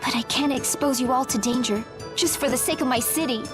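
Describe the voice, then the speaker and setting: A woman playing an earnest, emotional teenage girl with a noble manner in a game, speaking in an expressive, youthful way. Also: high-pitched, brisk, natural voice. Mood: earnest, resolute. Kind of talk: English game dub acting. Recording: game soundtrack music behind the voice. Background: background music.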